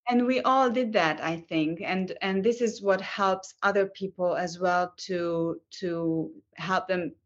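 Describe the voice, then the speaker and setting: A woman's voice: fairly low pitch, slow pace, few pauses. A woman, an actress and guest on a podcast, telling her story in an earnest, determined way and often restarting her sentences. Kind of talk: interview storytelling. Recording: dull lo-fi audio.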